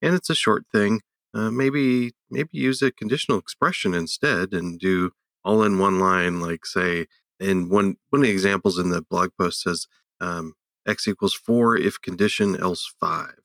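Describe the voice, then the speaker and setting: A man co-hosting a tech podcast, speaking casually in a hesitant, halting way. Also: sits low, gravelly, fairly bright tone, no echo, clean studio sound. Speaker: podcast co-host.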